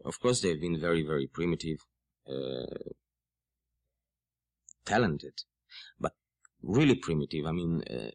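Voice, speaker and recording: A man from Israel with an Israeli accent, a native Hebrew speaker, explaining folk music as an interview guest in a halting, word-searching way. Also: rumbling low, animated, gravelly, no echo. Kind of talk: radio interview conversation.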